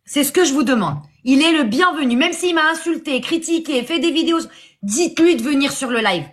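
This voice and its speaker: A woman's voice: sits high, expressive, brisk, a bit bright. A woman hosting a live online debate, hesitant and full of fillers as she brings speakers up. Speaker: live stream host.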